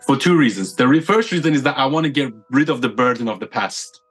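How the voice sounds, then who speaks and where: A man's voice: medium pitch, animated, quick pace, a bit bright, slightly rough. A man from the United Kingdom, a podcast guest giving his opinions in casual chat, stacking up points with repeated phrases.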